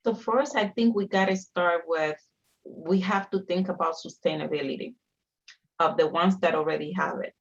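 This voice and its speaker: A woman's voice: on the low side, moderate pace, highs cut off. A woman from the Dominican Republic with a Dominican Spanish accent, a native Spanish speaker, making an emphatic, impassioned case as a community panelist. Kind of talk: panel discussion, impassioned advocacy.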